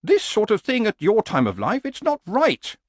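A nagging, sensible horse, voiced by a man reading a story, pitched well above an everyday male voice and swinging widely in tune. It pleads and reasons plaintively, like a worried travelling companion, in a dry, close recording. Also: huge pitch swings, moderate pace, no room sound, full character voice. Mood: nagging, coaxing.

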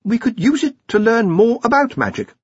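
A man's voice: very high voice, big pitch swings, normal speed, husky, no room sound, dull audio, voice pushed up, heavily acted. A man voicing an anxious young wizard scholar, hesitant and politely deferential, in a storybook reading.

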